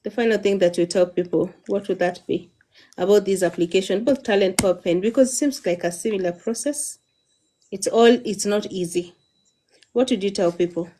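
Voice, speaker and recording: A woman's voice: mid-range voice, normal speed, dark tone. A young Kenyan woman hosting a live-streamed interview, switching between English and Swahili in an encouraging, coaching way. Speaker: host.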